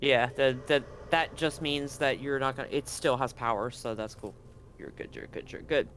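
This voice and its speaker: A man narrating as game master of a tabletop horror game in a relaxed, chatty way. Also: mid-range voice, moderate pace, a little hiss or hum. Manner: relaxed, chatty, hums sound effects.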